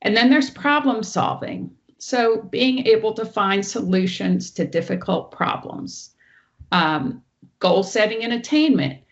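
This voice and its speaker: Woman with a loud teacher voice. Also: mid-range voice, lively intonation, unhurried, dull audio. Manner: explains clearly, walks through each slide. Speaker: presenter. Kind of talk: online training presentation. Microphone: online meeting audio.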